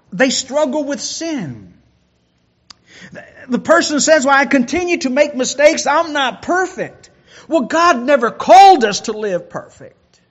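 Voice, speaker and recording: High-voiced preacher, lo-fi sound. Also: male voice. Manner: repeats words when building a point. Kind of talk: sermon.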